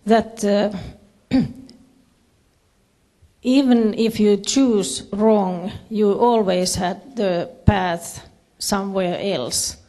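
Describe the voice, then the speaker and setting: A woman with a Finnish accent giving a presentation in English as a second language, haltingly searching for her words. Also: mid-range voice, dark tone. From Finland. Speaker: conference speaker, education official. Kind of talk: presentation to an audience with slides.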